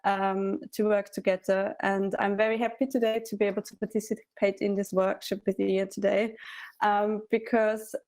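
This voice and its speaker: Give me a woman speaking in a level, even tone, hesitant and friendly. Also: medium pitch, normal speed. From Austria. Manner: friendly, hesitant, many ums. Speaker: cultural forum music officer. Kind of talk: conference introduction.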